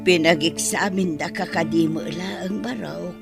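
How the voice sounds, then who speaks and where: A man acting in an Ilocano radio drama as a gentle, moralizing village parent who gives earnest advice. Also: high-pitched, normal speed, vocal fry, over loud music. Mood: caring.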